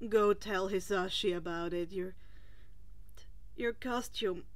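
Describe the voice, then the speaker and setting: A woman voicing a tired, unimpressed male hero teacher with a flat, dismissive manner in a fan fiction reading. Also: mid-range voice, slow pace, voice pushed down, close to an everyday voice. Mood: weary, dismissive.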